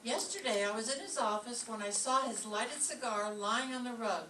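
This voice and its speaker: Droll female schoolteacher, played by a woman, deadpan radio comedy, slightly high voice, clearly noisy recording. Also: moderate pace. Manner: deadpan, wisecracking. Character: droll, put-upon female English teacher. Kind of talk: old-time radio comedy acting. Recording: noisy echoey stage, distant mic.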